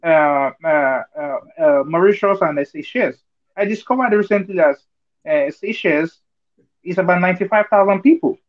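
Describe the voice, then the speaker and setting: A man's voice: high voice, moderate pace, highs cut off. A man arguing earnestly in an online group discussion, with many fillers and checks like "you understand?". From Nigeria. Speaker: discussion participant. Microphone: video call.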